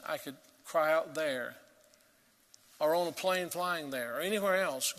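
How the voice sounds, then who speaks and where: A man preaching a sermon as pastor, earnest and emphatic, swelling to loud peaks and dropping to quiet. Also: on the high side, long reverb tail.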